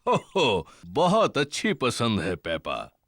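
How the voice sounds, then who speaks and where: A man's voice: mid-range voice, huge pitch swings, slow pace, fairly bright tone, heavily acted. A man voicing a jolly, reassuring father pig in a children's cartoon, with a hearty, playful manner.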